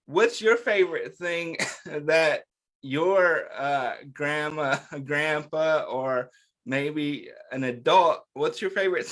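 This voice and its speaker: A man's voice: a little high, a bit bright. A man hosting children's storytime, reading a picture book aloud and talking directly to young listeners with simple, encouraging questions. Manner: talks directly to young listeners, asking questions and answering them.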